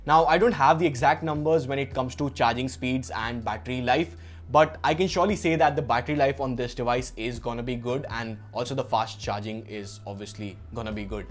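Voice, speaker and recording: A man's voice: medium pitch, brisk. A young man with an Indian accent narrating an unboxing as a tech reviewer.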